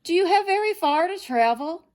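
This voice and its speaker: A woman's voice: extremely high pitch, animated, moderate pace, a little mellow, pitched above the performer's own voice. A woman voicing a sly, greedy wolf villain from a children's storybook, wheedling and gloating. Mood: false sweetness. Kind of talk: children's storybook character reading.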